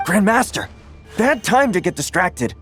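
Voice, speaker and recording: An actor playing an earnest, watchful knight soldier in a video game. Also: male voice, sits high, sing-song, measured pace, music in the background. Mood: urgent.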